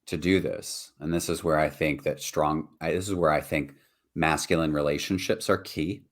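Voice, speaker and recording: Podcast co-host, man, very deep low voice, earnest and reflective, conversational delivery. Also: fairly even intonation, normal speed. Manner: earnest, reflective, frequent "right?" and "like". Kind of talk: casual earnest discussion.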